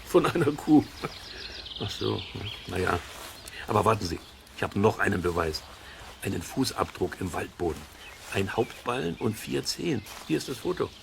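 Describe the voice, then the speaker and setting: A curious, stubborn nature sleuth in a children's audio drama. His voice is a man's, crackly with fry, and he mulls things over half to himself. Clear outdoor animal ambience sits behind him. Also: fairly low pitch, very animated, normal speed, full character voice. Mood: surprised, eager. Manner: musing, muttering to himself. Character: curious, stubborn male amateur nature sleuth named Peter. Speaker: main character of the series. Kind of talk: German children's radio play acting.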